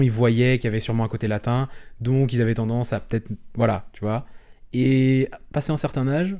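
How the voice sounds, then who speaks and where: A young French man chatting openly as an interview guest, relaxed and rambling, with frequent restarts and fillers. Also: mid-range voice. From France. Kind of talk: casual conversational interview.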